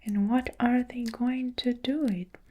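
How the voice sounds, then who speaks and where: A polite, easily alarmed ladybug from a storybook, whispered by a woman reader in a lifted voice. The tone stays hushed and mellow, never hurried. Faint noise hums behind it. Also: natural voice. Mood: anxious. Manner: whispered, higher and excited.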